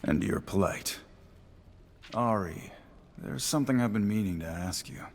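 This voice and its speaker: A man's voice: fairly low pitch, expressive, a little slow, gravelly, slight background noise. A man playing a brooding, loyal swordsman in a fantasy game, with a dry, understated delivery. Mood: dry, friendly. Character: brooding, loyal wandering swordsman, dryly wry. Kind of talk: cinematic fantasy game acting.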